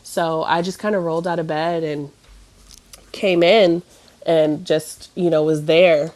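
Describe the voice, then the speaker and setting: A woman's voice: low-pitched, fairly even intonation, a little slow, a little mellow. A woman in her thirties chatting casually, sounding stuffy and nasal from a cough, rambling with many fillers and restarts. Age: 30s. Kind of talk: casual chat.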